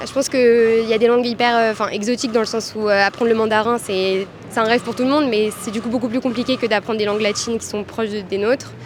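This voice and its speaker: A woman's voice: on the high side, fairly even intonation, quick pace, hardly any pauses, slight background noise. A woman answering a street interviewer, fluent and reflective.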